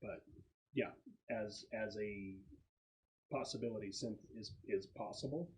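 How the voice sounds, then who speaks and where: A man's voice: lowish voice, a little slow, a little mellow, rough recording. A man acting as game master, explaining a scenario to players in a conversational way.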